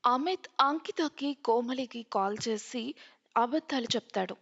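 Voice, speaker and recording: Female narrator for scripted tales, very high voice, dull recording with long reverb. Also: expressive, measured pace, a bit bright, slightly rough.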